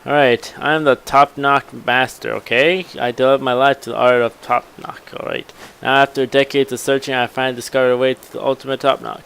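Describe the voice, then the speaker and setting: A man's voice: medium pitch, flat delivery, a little fast, vocal fry, a bit bright, clear, resonant tone. A man voicing a boastful, devoted topknot master from a game, in a grandiose, theatrical manner.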